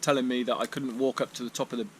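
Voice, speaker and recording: A man vlogging on a hiking trip, thinking aloud in a relaxed way with fillers and repeats, recorded on an action camera. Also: mid-range voice, racing, slight background noise. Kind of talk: vlog narration to camera. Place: outdoors on a walk, then sheltering in a barn.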